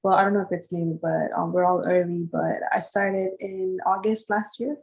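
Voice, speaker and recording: A woman's voice: fairly low pitch, fairly even intonation, normal speed, creaky, a little mellow, poor audio. A woman in her twenties from Sri Lanka, answering interview questions casually with many fillers. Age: 20s. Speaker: guest artist.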